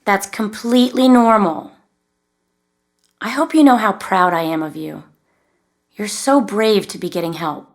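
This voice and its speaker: A woman playing a supportive, concerned mother in an audio drama, speaking gently and carefully. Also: mid-range voice, measured pace, pauses often, lightly acted. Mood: supportive, proud. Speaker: actress in a scripted podcast drama. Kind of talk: naturalistic audio drama acting.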